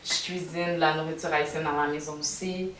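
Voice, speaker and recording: A woman's voice: low-pitched, fairly even intonation, brisk, a bit bright, a little hiss or hum. A young woman from Montreal with a Québécois accent, chatting reflectively on a podcast with plenty of fillers and restarts. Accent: Québécois French. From Montreal, Quebec, Canada. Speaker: podcast co-host. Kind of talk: casual podcast chat. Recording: echoey room, mic at a distance.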